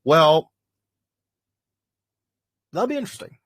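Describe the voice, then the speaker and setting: A man's voice: fairly high pitch, very animated, takes it slowly, fairly bright tone, no echo. A man hosting a casual livestream, answering viewer questions in a rambling way with lots of fillers. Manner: rambling, filler-heavy, thinking aloud.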